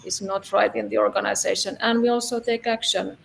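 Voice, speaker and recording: Gravelly-voiced woman podcast host. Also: medium pitch, fairly even intonation, brisk, a little hiss or hum. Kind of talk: interview questions and chat.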